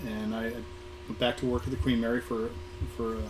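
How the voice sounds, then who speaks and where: A middle-aged or older American man from Southern California with a hoarse voice, giving an informal talk about his career in a halting way, repeating words and hesitating often. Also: medium pitch, little pitch movement, over music. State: hoarse, getting over an illness. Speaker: guest speaker, museum programming manager. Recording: noisy live recording with room ambience.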